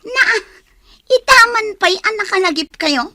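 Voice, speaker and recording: Very high-pitched, perky daughter. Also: female voice, animated, a little slow, bright, forceful tone, heavily acted. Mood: puzzled. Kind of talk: radio drama acting.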